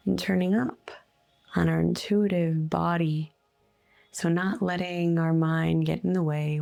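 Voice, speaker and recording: Slow, creaky-voiced woman guiding meditation. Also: lowish voice. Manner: soothing, unhurried, gently instructive with long pauses. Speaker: guided meditation host, energy healer. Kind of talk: guided meditation. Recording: soft music bed behind voice. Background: faint music.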